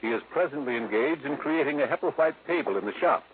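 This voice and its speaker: A man's voice: on the high side, fast, close to an everyday voice. Man doing stuffy fussy manager, super formal and proper, 1950s radio drama, tinny old recording. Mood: formal, courteous. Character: prim, stuffy old-fashioned male furniture company manager, easily scandalized.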